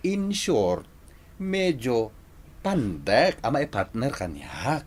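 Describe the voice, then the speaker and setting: An actor playing a vain, teasing, choosy bachelor son in an Ilocano radio drama, boastful and quick to laugh. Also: male voice, mid-range voice, very animated. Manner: boastful, laughing, swinging delivery.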